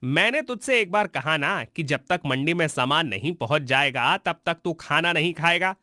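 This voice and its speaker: A man's voice: a little high, lively intonation, normal speed, pushed and bright, dry recording, studio quality. A man voicing a cruel, hot-tempered landlord who scolds his servant in a harsh, domineering way in a cartoon story. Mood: stern, bullying. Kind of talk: Hindi cartoon story voice acting.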